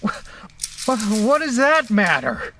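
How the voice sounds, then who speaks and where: A man's voice: high-pitched, sing-song, takes it slowly, bright, forceful tone, full character voice. Man playing a weary, tormented horror captive, noisy backing. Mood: nervous. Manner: weary, sardonic. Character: tormented male captive, bitterly sarcastic, narrating his ordeal. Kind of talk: first-person horror audio drama narration and dialogue.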